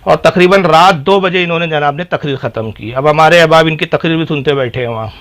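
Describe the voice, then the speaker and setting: Man, slightly high voice, formal articulate diction, sarcastic cleric telling his side of a dispute. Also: quick pace, fairly bright tone. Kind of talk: recounting a dispute on a phone call.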